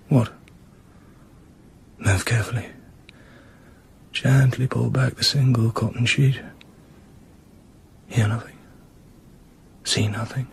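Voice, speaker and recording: An actor playing a cool British secret agent in a radio drama, speaking in terse, clipped and often hushed phrases. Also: male voice, medium pitch, gravelly, slight background noise. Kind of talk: radio drama acting with internal monologue. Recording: radio drama with music underneath.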